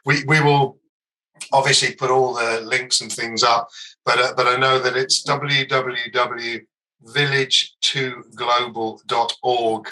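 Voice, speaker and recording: A man's voice: mid-range voice, flat delivery, slow, pushed and bright, rough recording. A man hosting a podcast, asking his guest questions in a casual, hesitant way full of fillers. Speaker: podcast host. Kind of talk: casual interview chat.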